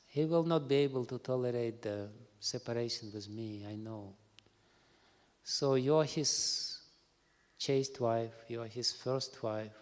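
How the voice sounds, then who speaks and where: A man voicing a gentle, dutiful young prince who calmly reassures his family before leaving for exile. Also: mid-range voice, measured pace, lightly acted. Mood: earnest. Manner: calm, earnest, reassuring. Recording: reverberant hall.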